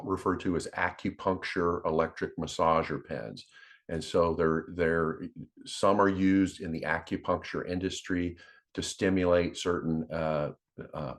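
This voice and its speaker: Very low-pitched man, halting explainer. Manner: halting, repeats words while explaining; instructional, addressing viewers. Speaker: presenter. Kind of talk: informal device demonstration.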